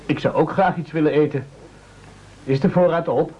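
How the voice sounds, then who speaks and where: An actor playing an eager, evasive and excitable castaway gentleman in an absurdist radio play, speaking politely. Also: fairly high pitch, moderate pace, close to an everyday voice. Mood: hungry, evasive. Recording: dull lo-fi old radio recording.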